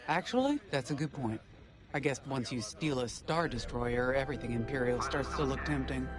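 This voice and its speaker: An actor playing an easygoing but dutiful squad leader in a space video game, speaking in a wry, conversational way. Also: male voice, mid-range voice, animated, normal speed, music in the background, natural voice. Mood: wry, amused.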